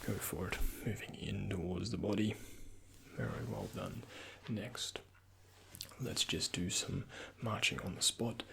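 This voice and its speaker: A man speaks softly in a low, heavily creaky voice that often slips toward a whisper. The sound is crisp and bright. He plays a doctor in an ASMR roleplay, guiding the listener with gentle, reassuring instructions. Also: a little slow, a little hiss or hum. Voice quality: breathy, often half-whispered. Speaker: ASMR creator playing a doctor. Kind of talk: soft-spoken ASMR medical roleplay.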